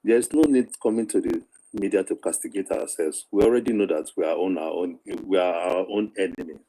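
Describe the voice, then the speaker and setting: Very dark, low-voiced Nigerian man. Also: poor audio. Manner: measured, persuasive, repeats phrases for emphasis. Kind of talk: informal discussion.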